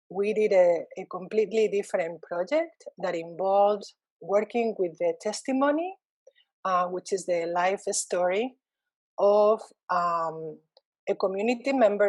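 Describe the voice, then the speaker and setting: A woman's voice: lowish voice, dry recording. Thoughtful woman. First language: Spanish. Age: middle-aged. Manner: thoughtful, frequent ums and restarts. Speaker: university Spanish lecturer. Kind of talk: reflective interview talk.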